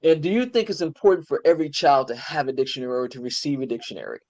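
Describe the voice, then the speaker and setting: A woman's voice: rumbling low, animated, rapid-fire, darkish tone. Enthusiastic older woman hosting an interview. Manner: enthusiastic, conversational. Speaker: host.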